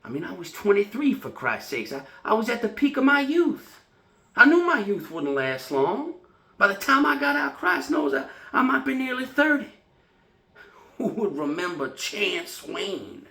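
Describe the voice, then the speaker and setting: A man's voice: high voice, pushed and bright, pitched above the performer's own voice, exaggerated voice. A man performing a stage monologue as an anxious, wistful young American ex-sailor afraid of losing his youth. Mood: agitated. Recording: roomy, echoing solo room recording.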